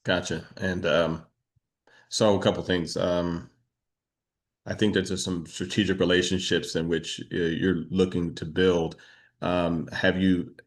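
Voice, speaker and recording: Deep-voiced man hosting a business meetup. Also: flat delivery, unhurried. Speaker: meetup host and moderator. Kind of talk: casual business networking talk over video call. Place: online video meeting.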